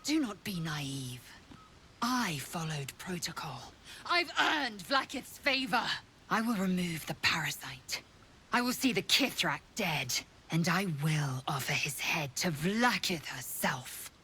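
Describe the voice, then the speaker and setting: A woman's voice: on the low side, big pitch swings, slow pace, sharp tone, a little hiss or hum, heavily acted. A woman playing a proud, scornful alien warrior in a fantasy video game, imperious and clipped in her delivery. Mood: steely resolve. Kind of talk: dramatic video game acting.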